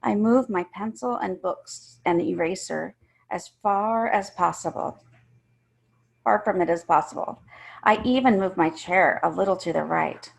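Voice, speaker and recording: A woman's voice: fairly low pitch, unhurried, gravelly, poor audio. A woman reading a first-person children's story aloud, emphatically and with acted-out feeling. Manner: emphatic, acts out the characters.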